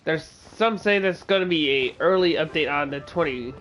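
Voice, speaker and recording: A man streaming a game live, chatting casually with viewers in a halting way with many filler words. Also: on the high side, a bit bright, slight background noise, low-quality recording. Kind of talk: casual live gameplay commentary.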